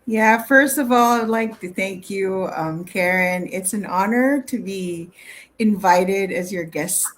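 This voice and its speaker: A woman's voice: mid-range voice, takes it slowly. A woman in her thirties from the Philippines, answering interview questions in a friendly, casual way with many fillers. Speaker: guest.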